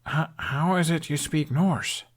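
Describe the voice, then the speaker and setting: A man's voice: a little high, voice pushed up. A man voicing an awkward, easily embarrassed teenage boy who stammers and halts as he talks. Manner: stammering, halting, mumbling.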